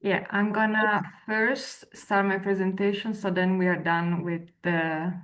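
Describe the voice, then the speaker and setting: A woman in her thirties with an Italian accent, a researcher and lecturer giving a webinar presentation over a video call with clear explanations and brief hesitations. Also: mid-range voice, flat delivery, measured pace, low-quality recording. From Italy. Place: indoor room at home or office. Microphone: video call, laptop mic.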